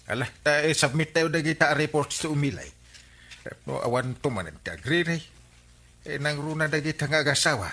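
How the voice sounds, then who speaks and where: A man's voice: a little high, expressive, brisk, sharp tone, a little hiss or hum. A man with an Ilocano Filipino accent playing a folksy, exasperated village captain in a radio comedy drama, with hesitant interjections. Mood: puzzled, curious.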